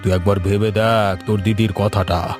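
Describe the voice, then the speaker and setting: A man's voice: fairly low pitch, steady tone, moderate pace, darkish tone, soft background music, pitched below the performer's own voice, close to an everyday voice. A man playing a cautious, worried village relative in a cartoon story. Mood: concerned.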